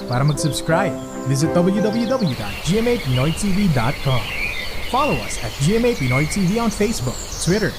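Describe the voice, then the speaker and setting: A woman's voice: low-pitched, very animated, moderate pace. A woman announcer reading a scripted broadcast promo. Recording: loud music under the voice.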